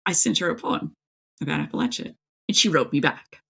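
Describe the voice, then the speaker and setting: Creaky-voiced woman chatting about her work. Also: low voice, lively intonation, a little fast, fairly bright tone. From East Tennessee, United States. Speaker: author, interview guest. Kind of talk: casual, chatty interview talk.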